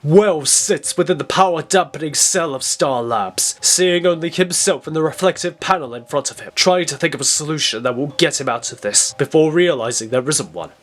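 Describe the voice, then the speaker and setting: A man's voice: on the high side, normal speed, few pauses, a bit bright, a little hiss or hum. A man giving dramatic scripted narration as the narrator of an audio drama.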